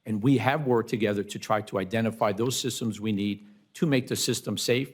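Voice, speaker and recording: A man testifying as a witness at a hearing, explaining his points formally but unscripted, in a measured, courteous way. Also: lowish voice, slightly rough. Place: committee hearing room.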